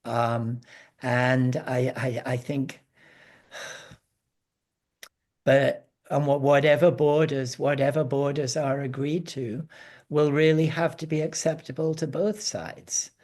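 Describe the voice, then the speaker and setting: A man with a mid-pitched voice talks as a guest analyst on a panel. His delivery is very slow and halting, with repeated words and hesitations as he explains things informally. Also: steady tone, darkish tone.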